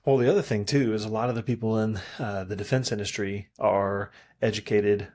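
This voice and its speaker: A man's voice: fairly low pitch, fairly even intonation, fairly quick, darkish tone. A man, a guest on a local podcast, speaking earnestly and repeating words as he thinks. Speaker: chamber of commerce chairman, guest. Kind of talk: casual interview chat.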